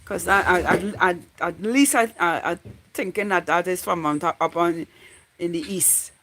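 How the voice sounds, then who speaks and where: A woman's voice: lowish voice, normal speed, a bit bright, a little hiss or hum. A woman in her forties with a Trinidadian accent, chatting casually to viewers as a livestream host in a rambling, repetitive way. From Trinidad and Tobago. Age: 40s. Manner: rambling, repeats phrases while thinking aloud. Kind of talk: casual live chat with viewers.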